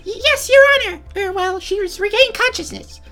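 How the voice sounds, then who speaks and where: A man's voice: very high-pitched, a little fast, pushed and bright, soft background music, voice pushed up, heavily acted. A man voicing a meek, flustered male prosecutor in a video game, stammering and deferential. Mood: anxious, deferential.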